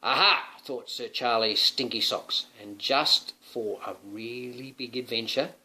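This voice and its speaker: A man reading a children's storybook aloud in an animated, playful way, like a dad with questions for his young listener. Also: medium pitch, measured pace, rough recording. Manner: dramatic, playful, often asking the listener questions. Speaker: father reading a picture book to his young son.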